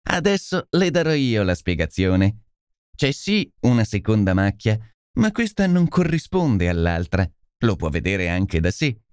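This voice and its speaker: A man's voice: fairly low pitch, big pitch swings, a little slow, a little mellow, clean tone, dry recording, clean studio sound. A man voicing a blustering male police inspector in an audiobook, brash and needling.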